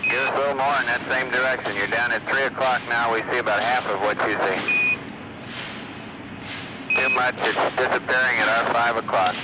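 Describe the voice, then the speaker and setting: An American man speaking over a space-mission radio link, halting and matter-of-fact as he reports technical observations. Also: mid-range voice, steady tone. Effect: space-mission radio transmission. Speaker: astronaut or mission radio operator. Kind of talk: live mission radio communication. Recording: very noisy archival space radio transmission.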